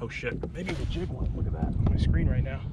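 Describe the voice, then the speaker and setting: A man's voice: mid-range voice, expressive, fairly quick. A man in his thirties giving laid-back, colloquial fishing vlog commentary, often as if talking to himself, outdoors on a kayak. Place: outdoors in a kayak on open sea. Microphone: action camera mounted on kayak or body. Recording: loud wind and water noise outdoors.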